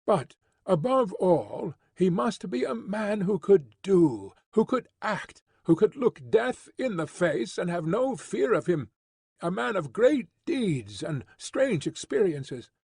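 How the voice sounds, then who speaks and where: A man doing a lofty, idealistic young woman, slow and earnest, gently chiding, her husky voice lilting high and low in an audiobook, her tone raised a little above his own. She sounds dry and close. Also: no room sound, exaggerated voice.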